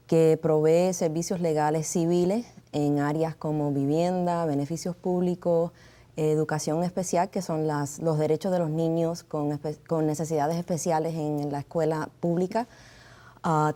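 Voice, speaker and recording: A woman attorney from a legal aid group gives a television interview. Her voice is low and mellow, and she pauses often, filling the gaps with hesitations. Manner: hesitant, with many fillers. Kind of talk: TV interview answers.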